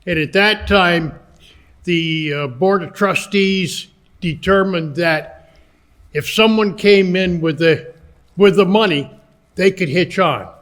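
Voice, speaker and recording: An older man speaks up at a town hearing in a loud, plain-spoken voice. He talks slowly, and his pitch sits on the high side. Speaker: retired treatment plant worker. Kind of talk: public comment at a hearing. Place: town meeting hall.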